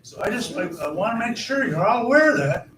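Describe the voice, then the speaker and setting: Hoarse man chairing a meeting. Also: extremely high pitch, sing-song, a little fast, fairly bright tone. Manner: repeats himself to stress points, asks the room questions. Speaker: county board chair. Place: county board meeting room. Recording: echoey hall, far from the mic, background noise.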